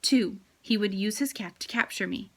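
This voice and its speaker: A woman reading a children's chapter book aloud as a teacher, with expressive storytelling. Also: mid-range voice, moderate pace.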